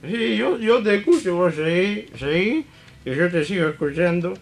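A Rioplatense man with a very high-pitched voice co-hosts a comic radio show. He chats agreeably and repeats his words over faint music. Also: animated, normal speed, gravelly.

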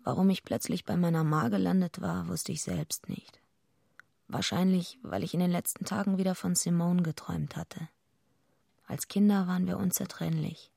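A woman's voice: lowish voice, normal speed, vocal fry, mellow, no room sound, studio quality. An actress playing a tough, streetwise young woman, narrating a radio drama in a wry, matter-of-fact way.

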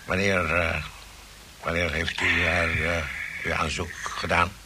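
Pompous, gravelly low-voiced old gentleman. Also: level tone, slow. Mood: tipsy, confiding. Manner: blustering, repeats himself. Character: pompous, quarrelsome gentleman suitor fond of cognac. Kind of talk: theatrical Dutch radio play acting. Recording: noisy old radio play recording with clicks.

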